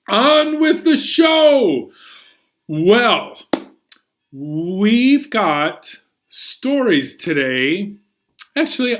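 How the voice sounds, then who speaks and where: An American man hosting a story time for young children, playful and exclaiming as he introduces songs and stories. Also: high voice.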